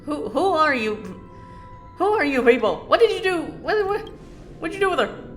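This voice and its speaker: A woman streamer reacting live to a game story, blurting out excited exclamations. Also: sits high, normal speed, faint music behind.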